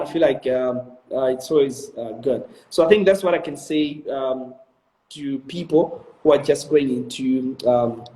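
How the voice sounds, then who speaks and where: A man's voice: mid-range voice, dark tone. Twenties guy w/ malawian accent, earnest and hesitant, lots of ums, echoey room. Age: 20s. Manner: earnest, motivational, many "um"s and restarts. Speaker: interview guest, video director. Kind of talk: casual interview answers.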